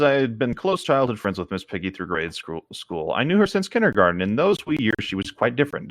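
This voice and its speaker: A man's voice: medium pitch, a bit bright, no room sound, low-quality recording. A man reading a story aloud as a co-host, casual, with reflective, easygoing asides. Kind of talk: casual story narration with commentary.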